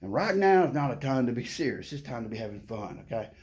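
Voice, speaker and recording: A man's voice: medium pitch, very animated, quick pace, a little mellow. A middle-aged man who stutters, talking to the camera in a playful, upbeat way and often adding "okay?". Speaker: vlogger. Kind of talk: casual motivational talk to camera.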